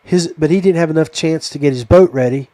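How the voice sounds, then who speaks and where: A man's voice: fairly high pitch, a little fast, dark tone, dry recording. A middle-aged or older man hosting a podcast interview, chatting in a casual, folksy, affectionate and rambling way. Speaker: podcast host. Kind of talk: casual chat closing a fishing podcast interview.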